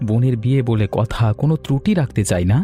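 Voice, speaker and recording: Man playing courteous cartoon village man, mid-pitched, brisk pace, earnest, friendly, soft music behind. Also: lightly acted. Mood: concerned. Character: friendly village men, a nursery seller and his customer. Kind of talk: Bengali moral-story cartoon dialogue.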